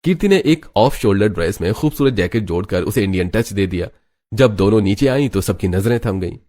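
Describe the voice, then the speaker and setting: Storyteller: man with a lowish voice, narrating a scripted cartoon family drama. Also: lively intonation, a little fast, mellow.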